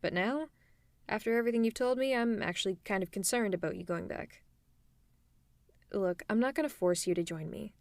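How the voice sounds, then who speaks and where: A smug, scheming supervillain woman, played by an ASMR creator, talking close to the mic in a teasing, persuasive way. Her voice sits at a middle pitch, and the recording is dry with no room sound. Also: brisk, pauses often, dry recording. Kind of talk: one-sided ASMR roleplay to a silent listener.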